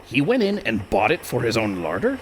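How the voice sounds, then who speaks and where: An actor playing a rough, indignant pirate rogue in a radio play, exclaiming in gruff seafaring dialect. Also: male voice, medium pitch, wildly swooping pitch, brisk, poor audio. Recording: faint background noise.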